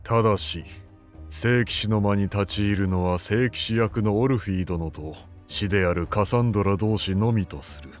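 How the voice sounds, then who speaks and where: A man's voice: fairly low pitch, moderate pace, soft background music, lightly acted. A man playing the solemn supreme leader of a fantasy temple council, terse and authoritative. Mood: commanding. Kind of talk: dramatic scripted voice acting.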